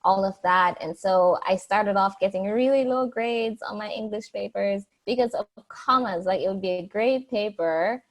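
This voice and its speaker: A woman's voice: mid-range voice, moderate pace, hardly any pauses. A young woman from Jamaica, answering interview questions in a chatty way, with many fillers and restarts. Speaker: guest.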